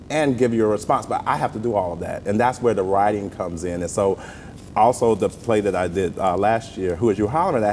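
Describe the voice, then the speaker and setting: Low-voiced Southern man in a reverberant room. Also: darkish tone. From southern United States. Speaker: interview guest, playwright and stage actor. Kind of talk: conversational TV interview. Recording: roomy long reverb with rumbling noise.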